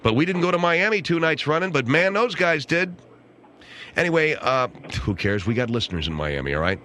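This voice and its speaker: A man's voice: a little high, big pitch swings, a little fast, bright, forceful tone, slight background noise. An American man hosting casual, rambling talk radio with a wry, grumbling, sardonic manner. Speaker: radio talk show host. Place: radio studio. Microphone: close studio microphone.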